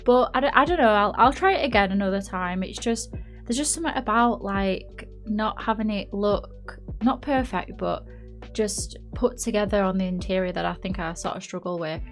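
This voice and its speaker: A woman chats through a game build voice-over in a mid-pitched voice, a little nasal from a blocked nose. She rambles and doubts herself, full of fillers. Quiet music plays under her voice. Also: normal speed. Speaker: YouTuber narrating a game build. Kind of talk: casual chatty voice-over. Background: faint background music.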